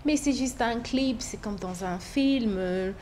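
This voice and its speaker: A woman's voice: fairly high pitch, slow pace, darkish tone, a little hiss or hum. A woman singer, a native Bemba speaker, talking casually as a guest and repeating points for emphasis. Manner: emphatic, repeats herself for stress. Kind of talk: casual interview talk.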